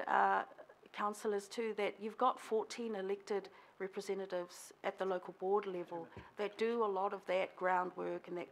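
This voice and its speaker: Woman local board member with Samoan as her first language, husky fry in the voice, emphatic, repeats her points in a council chamber. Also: medium pitch. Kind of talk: formal presentation to a council.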